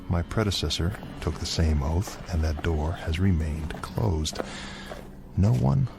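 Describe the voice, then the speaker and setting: Grave, deep-voiced village leader. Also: male voice, vocal fry. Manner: measured, earnest, solemn. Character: grave, principled village leader guarding an oath. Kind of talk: radio drama acting.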